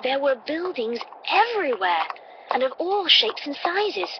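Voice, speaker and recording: A woman's voice: high-pitched, big pitch swings, a little slow. A woman reading a scripted story as an audiobook narrator. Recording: dull, noisy playback through computer.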